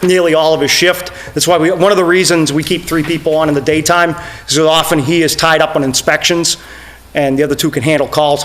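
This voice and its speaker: A middle-aged man, the town's fire chief, explaining his department to a finance committee in a steady way, with many "uh" fillers and a voice that is going hoarse. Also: a little high. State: losing his voice. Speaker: fire chief presenting budget. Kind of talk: committee presentation. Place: town meeting room.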